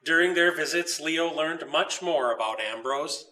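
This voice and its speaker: A man narrates a children's story in a deliberate, expressive read. His voice is bright and forceful, pitched somewhat high, and sits a little back from the microphone. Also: far from the mic, low-quality recording. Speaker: author reading his story.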